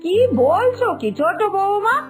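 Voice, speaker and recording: A woman voicing an older, caring but exasperated mother-in-law in an animated moral story. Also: very high voice, unhurried, soft background music. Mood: scolding, caring. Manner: exasperated, firm, motherly urging.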